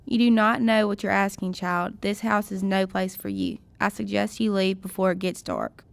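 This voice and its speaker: A woman's voice: medium pitch, steady tone, normal speed, creaky, natural voice. Woman acting a stern, haughty lady of the house. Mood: stern, dismissive. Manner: formal, clipped, haughty.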